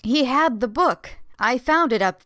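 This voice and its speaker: A woman's voice: high voice, lively intonation, unhurried, fairly bright tone, no echo, clean studio sound. A woman playing an eerie, troubled girl in a gothic tabletop audio drama. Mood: eerie, dawning realization.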